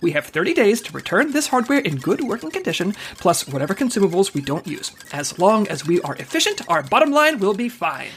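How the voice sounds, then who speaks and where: A woman's voice: mid-range voice, big pitch swings, fairly quick, few pauses, a little hiss or hum, natural voice. A woman acting as a breezy, self-assured spy team leader in a comic audio drama, grandiose and quippy. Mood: upbeat, cocky.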